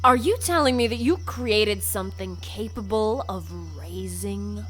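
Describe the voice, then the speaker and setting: A woman's voice: medium pitch, unhurried, fairly bright tone, soft background music, lightly acted. A woman playing a pugnacious dean who leads a gang of thugs in an audio drama, speaking with sarcastic, emphatic mock politeness. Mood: indignant, sarcastic.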